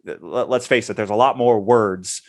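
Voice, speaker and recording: A man's voice: fairly low pitch, moderate pace. A man co-hosting a casual chat about writing, rambling and hesitant, often repeating words. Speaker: co-host.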